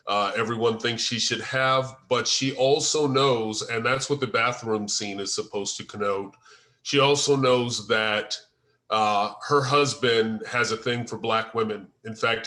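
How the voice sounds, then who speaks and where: A man leading a discussion of a script on a video call, explaining in a deliberate way. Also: lowish voice, sharp tone. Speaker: playwright leading a table-read feedback session. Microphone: video call microphone.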